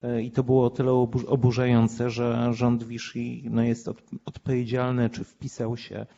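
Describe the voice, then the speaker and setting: Flat, mellow-voiced man on a panel. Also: mid-range voice, creaky, dull audio, slight background noise. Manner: measured, speaks through an interpreter. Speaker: judge on a panel. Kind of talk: panel discussion.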